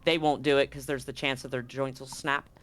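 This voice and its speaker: A man's voice: mid-range voice, level tone, a little fast, a bit bright. A man acting as game master, narrating and chatting in an easygoing, informal way during a live tabletop game.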